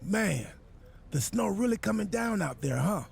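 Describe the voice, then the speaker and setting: Goofy, high-pitched, very gravelly wrestler boss. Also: male voice, big pitch swings, unhurried, exaggerated voice. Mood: self-important, earnest. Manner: earnest, absurdly literal, rambling. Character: goofy, scatterbrained, self-important male wrestler playing boss. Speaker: wrestler acting as general manager. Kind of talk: video game cutscene acting.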